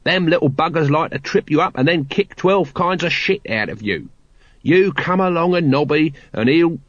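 A man voicing a cowardly, seedy, low-class veteran watchman in an audiobook, with a muttering, slangy, uneducated grumble. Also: lively intonation, normal speed, no echo, muffled recording. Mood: warning. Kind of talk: audiobook character voice.

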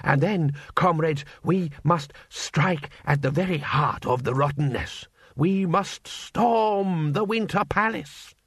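A man's voice: fairly high pitch, takes it slowly, slightly rough, dry recording, clean studio sound, pitched above the performer's own voice. A man voicing an earnest, polite male revolutionary leader who gives rousing speeches.

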